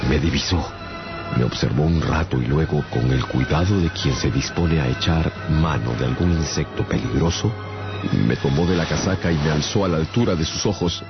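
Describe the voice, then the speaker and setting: A man's voice: rumbling low, normal speed. A man giving theatrical, scripted first-person narration in a literary radio novel. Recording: muffled radio drama with music behind.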